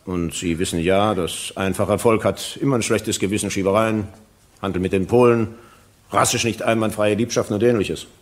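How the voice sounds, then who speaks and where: An actor playing an evasive, self-justifying former police colonel testifying in a German courtroom radio play. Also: male voice, lowish voice, fairly even intonation, moderate pace, natural voice.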